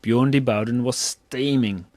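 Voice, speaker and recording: A man's voice: mid-range voice, steady tone, unhurried, a little mellow, dry recording, studio quality. A man narrating a scripted story as a storyteller.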